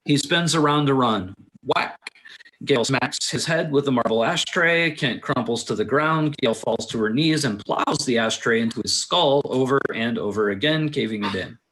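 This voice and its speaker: Man reading screenplay directions, medium pitch. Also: moderate pace, fairly bright tone, poor audio. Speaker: narrator reading stage directions. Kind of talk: scripted narration at a table read. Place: remote video call.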